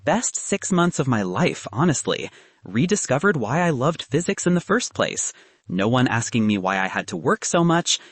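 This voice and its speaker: A man's voice: medium pitch, big pitch swings, moderate pace, breathy edge, no room sound. A man voicing a young woman physicist in an audiobook, confident and frank, with a dry, advice-giving manner. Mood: wry, upbeat.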